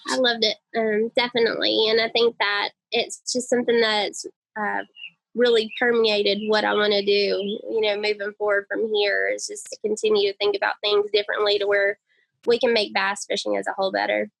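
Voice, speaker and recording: A woman with a high, girly voice, chatting enthusiastically as a guest on an interview podcast. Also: level tone, brisk, creaky, a bit bright, no room sound, poor audio. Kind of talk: casual interview conversation.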